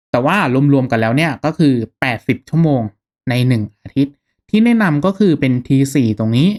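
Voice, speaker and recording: A man narrating a casual step-by-step software tutorial in an informal, instructional manner. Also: medium pitch. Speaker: tutorial host.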